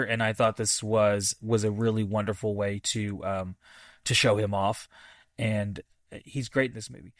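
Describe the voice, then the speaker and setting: A man hosting a podcast, casually reviewing a film in a halting, thinking-aloud way with enthusiastic, repeated emphasis. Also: medium pitch, a bit bright, no room sound, studio quality. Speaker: movie review podcast host.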